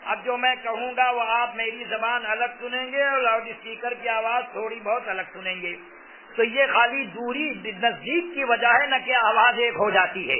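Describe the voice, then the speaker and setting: High-pitched male preacher, tinny sound. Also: normal speed, slight background noise. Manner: emphatic, repeats key phrases. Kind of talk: sermon explaining a fatwa to listeners. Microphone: loudspeaker microphone close to the mouth. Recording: tinny phone-like loudspeaker sound.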